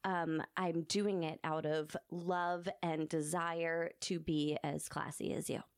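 A woman's voice: low-pitched, flat delivery, takes it slowly, no room sound, clean studio sound. A woman co-hosting a podcast with casual, giggly, self-deprecating banter and informal phrasing. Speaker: podcast co-host.

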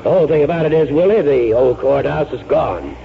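An actor playing a genteel, pragmatic small-town gentleman in a 1940s radio drama, polite and persuasive. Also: a little high, expressive, a little fast, gravelly. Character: genteel, pragmatic old friend, small-town library advocate. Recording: thin, narrow-band 1940s radio recording.

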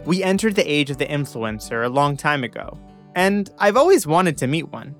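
A young man hosts a podcast in a measured, reflective way, letting his pitch rise and fall freely. A soft guitar plays faintly beneath his narration. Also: big pitch swings. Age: young adult. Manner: reflective, measured delivery with dramatic pauses. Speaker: podcast host. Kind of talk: scripted narration and interview.

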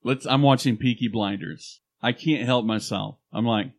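A man's voice: mid-range voice, normal speed, vocal fry. A man with an Oklahoma accent co-hosting a casual podcast, chatty and playful, given to slipping into other voices. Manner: playful, slips into put-on accents. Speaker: podcast co-host.

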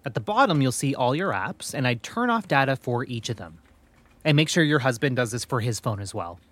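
A man's voice: medium pitch, very animated, a bit bright, dry recording. Courteous young male call-centre rep. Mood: polite, businesslike. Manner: courteous, measured, hesitant when personal. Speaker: customer service representative. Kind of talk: naturalistic audio drama phone dialogue.

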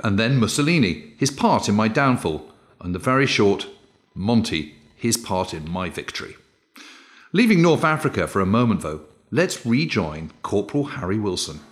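Lively low-voiced history narrator. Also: male voice. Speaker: host, narrator.

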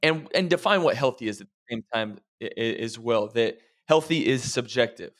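Encouraging male health coach, mid-pitched. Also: animated, moderate pace, fairly bright tone. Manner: reflective, encouraging, addresses listeners directly. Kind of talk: casual podcast chat.

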